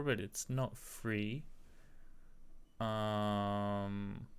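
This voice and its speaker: A man with a slight American accent, chatting casually while teaching a live-streamed software tutorial and answering viewers. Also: medium pitch, gravelly, smooth.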